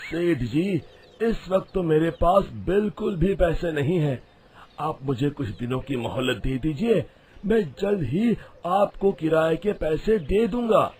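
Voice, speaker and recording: A man voicing a worried, meek village husband in an animated moral story, plaintive and hesitant. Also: a little high, measured pace. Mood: pleading.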